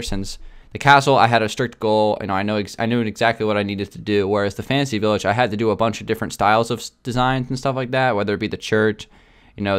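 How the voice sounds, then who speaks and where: A man's voice: on the low side, clear, resonant tone. A man chatting casually to his viewers in a gaming video, rambling a little and often repeating himself. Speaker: video game YouTuber.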